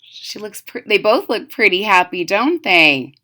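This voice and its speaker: A woman's voice: medium pitch, lively intonation, unhurried, pushed and bright. A woman reading a children's story aloud expressively as host, with chatty asides and questions to young listeners. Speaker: storybook reader and channel host.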